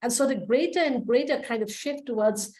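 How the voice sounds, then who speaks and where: A middle-aged woman from India, a professor, speaking at a panel in a measured, thoughtful way with frequent fillers. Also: fairly high pitch, dark tone. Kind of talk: panel talk.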